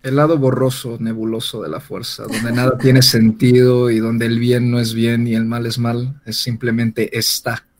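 A man's voice: mid-range voice, flat delivery, normal speed, few pauses. Man chatting casually on a podcast. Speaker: podcast panelist. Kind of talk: casual chat.

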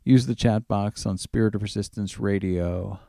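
Male music radio host, relaxed dark tone with some fry, casual and chatty announcing. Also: lowish voice, moderate pace, no room sound, studio quality. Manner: conversational, informal.

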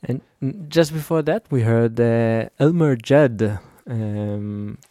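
A man co-hosts a radio music show, laying out track details carefully and stopping often on fillers. His voice is dark and mellow. The recording is close and dry. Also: medium pitch, no echo. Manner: careful, informative, halting with fillers. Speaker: radio co-host. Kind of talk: casual radio chat introducing tracks.

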